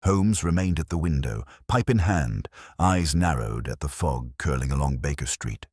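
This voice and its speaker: A man with an extremely deep voice narrates a mystery tale in a measured, literary way. His pitch moves in lively swings, and the sound is dry and close. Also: breathy edge, no room sound. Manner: measured, formal, literary delivery. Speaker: audiobook narrator. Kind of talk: scripted mystery story narration.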